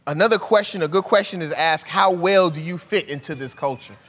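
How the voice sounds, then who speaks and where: A man's voice: fairly high pitch, fairly quick, a bit bright, long reverb tail. A man preaching a sermon, conversational and full of rhetorical questions to the congregation.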